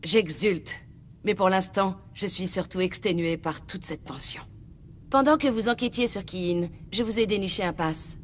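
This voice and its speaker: An actress playing a determined undercover internal affairs agent in a video game, businesslike and wry. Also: female voice, medium pitch, moderate pace, a little hiss or hum, lightly acted. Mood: wry, weary. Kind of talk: video game dub dialogue.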